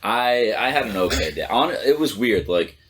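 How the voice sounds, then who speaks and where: A man's voice: fairly low pitch, expressive, normal speed, a bit bright, slightly rough. A young man co-hosting a casual podcast chat, laid-back and agreeable. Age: young adult. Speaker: podcast co-host.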